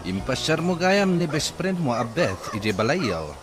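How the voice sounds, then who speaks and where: Actor as a jealous, sulky young man, animated. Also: a little high, unhurried, loud music behind the voice. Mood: jealous, accusing. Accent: Ilocano. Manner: accusing. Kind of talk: Ilocano radio comedy drama acting. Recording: very noisy outdoor ambience, animal sounds.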